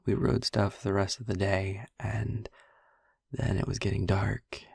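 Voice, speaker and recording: A man playing a gentle vampire boyfriend in an intimate audio roleplay, confiding a painful past in a soft, halting way. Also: flat delivery, no echo, studio quality, heavily acted. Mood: wistful, reminiscing. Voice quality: husky, gravelly, breathy low voice.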